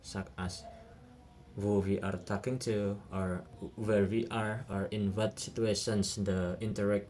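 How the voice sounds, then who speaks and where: A young man with an Indonesian accent explains a study topic in a hesitant, filler-heavy way. His voice sits fairly low. A faint hiss runs under the recording. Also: little pitch movement, measured pace, darkish tone. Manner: hesitant, many "uh" and "nah" fillers.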